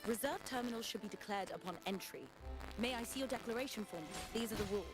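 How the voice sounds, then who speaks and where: An actress playing a formal, rule-bound female port official in a video game, with a brisk manner. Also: on the high side, close to an everyday voice. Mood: businesslike. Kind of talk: scripted video game dialogue. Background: music.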